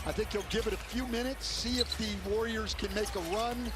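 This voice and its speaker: High-pitched male basketball analyst. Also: fairly even intonation, moderate pace. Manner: opinionated, explanatory. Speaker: basketball colour commentator. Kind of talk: analytical live sports commentary. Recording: broadcast under loud arena noise. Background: loud basketball arena ambience.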